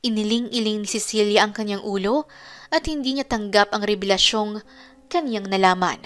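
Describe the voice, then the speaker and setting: A woman's voice: medium pitch, normal speed, a bit bright, faint music behind. A woman giving a dramatic scripted narration of a romance story.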